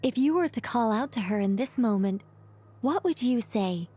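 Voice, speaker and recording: A woman playing a wise, calm companion who speaks thoughtfully and gently guides others in a game cutscene. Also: on the high side, moderate pace. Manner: thoughtful, reflective, gently guiding.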